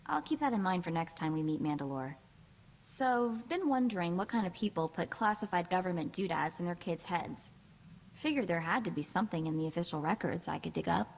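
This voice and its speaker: A woman's voice: on the low side, lively intonation, fairly quick, a little hiss or hum. A woman voicing a plucky, street-smart young slicer in a video game, wry and breezy, with clipped slangy talk. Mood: determined.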